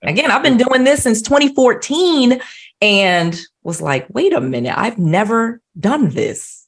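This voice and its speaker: A woman's voice: a little high, lively intonation, unhurried, breathy edge. Chatty woman hosting an interview. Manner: conversational, frequent restarts and "you know what I mean". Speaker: host. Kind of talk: casual interview chat.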